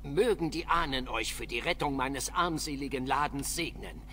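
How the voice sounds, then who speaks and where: A man's voice: mid-range voice, expressive, moderate pace, sharp tone. An actor playing a humble, grateful male shopkeeper in a video game, eager to please.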